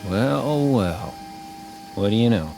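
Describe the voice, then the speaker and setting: Slow-drawling wisecracking American GI. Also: male voice, lowish voice, animated, creaky, music in the background, full character voice. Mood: teasing, watchful. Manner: drawling, sardonic. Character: wisecracking, war-weary American infantry soldier. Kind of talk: radio drama acting.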